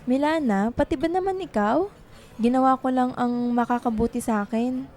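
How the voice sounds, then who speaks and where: A woman's voice: high voice, normal speed, darkish tone, a little hiss or hum, natural voice. An actress playing a weary, heartbroken young writer and girlfriend in a Tagalog radio drama. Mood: dejected.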